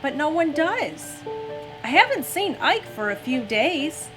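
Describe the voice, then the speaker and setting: A woman reading a children's story aloud in an expressive, gentle storytelling manner. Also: sits high, measured pace. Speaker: mother reading aloud on a family story-time show. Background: background music.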